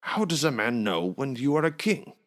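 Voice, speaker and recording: A man playing a fawning, blustering storybook innkeeper, swooping pitch. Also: very animated, moderate pace, a bit bright. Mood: flustered. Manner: emphatic, eager to please, bossy with servants. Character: fawning, self-important male innkeeper, blustering and eager. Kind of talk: folk tale storybook reading.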